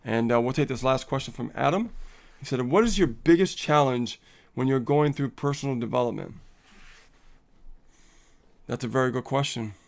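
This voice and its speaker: A man's voice: medium pitch, normal speed, vocal fry. A man co-hosting a casual live coaching stream, upbeat and motivational, laughing often and reading viewer comments aloud.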